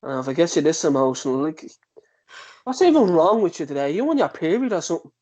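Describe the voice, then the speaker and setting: An actor playing a belittling, manipulative ex-partner with a condescending, needling manner in a drama table read. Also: male voice, fairly high pitch, sing-song, quick pace, darkish tone. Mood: scornful.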